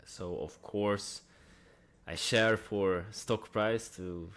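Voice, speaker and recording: A man's voice: fairly low pitch, fairly even intonation, extremely slow, a bit bright. A man giving casual investment commentary in a conversational way, with rhetorical questions and dry asides. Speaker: host of a stock analysis channel.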